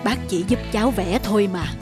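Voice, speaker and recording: A woman voicing Kiên, a kindly middle-aged male painter, speaking gently and encouragingly. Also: medium pitch, fairly quick, loud music behind the voice, natural voice.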